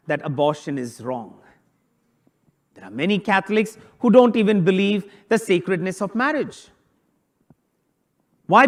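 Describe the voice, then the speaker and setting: Priest delivering a homily, high male voice, informal preaching built on rhetorical questions. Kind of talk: sermon on a Bible passage.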